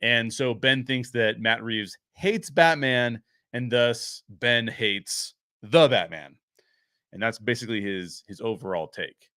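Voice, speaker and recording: A man's voice: medium pitch, slow pace, pushed and bright, no room sound, studio quality. A man giving unscripted film commentary as a show host, explaining his points emphatically.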